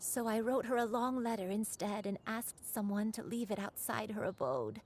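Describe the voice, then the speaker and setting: An actress playing a gentle, remorseful old crane spirit in human form, telling a story in a measured, confessional way in a video game. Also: female voice, mid-range voice, slight background noise, close to an everyday voice. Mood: ashamed. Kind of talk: scripted video game voice acting, storytelling monologue.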